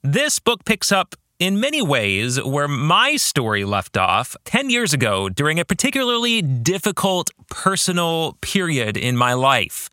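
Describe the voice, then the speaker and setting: A male podcast host with a bright, forward voice that sits a little high. His pitch moves in lively swings as he delivers scripted reflections with deliberate emphasis. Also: no room sound, studio quality. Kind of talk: scripted podcast narration with book-club reflection.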